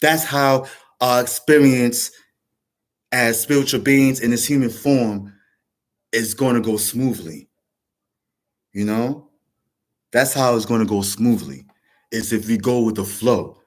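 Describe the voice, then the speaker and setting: A man's voice: mid-range voice, slow, pauses often. Man with a rambling motivational talk. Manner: rambling, repetitive, frequent restarts. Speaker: vlog host. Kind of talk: casual motivational monologue.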